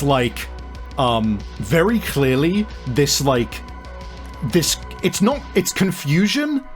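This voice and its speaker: Man narrating a tabletop game over music. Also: a little high. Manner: halting, searching for words, swears casually. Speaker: game master. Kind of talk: improvised narration of a tabletop role-playing game.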